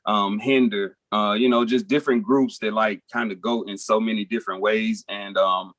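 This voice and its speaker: Laid-back Nashville rapper, low voice. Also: male voice, fairly even intonation, normal speed, a bit bright, dry recording. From Nashville, Tennessee. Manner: laid-back, rambling, frequent fillers like "you know" and "um". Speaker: guest musician, rapper. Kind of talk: casual interview chat.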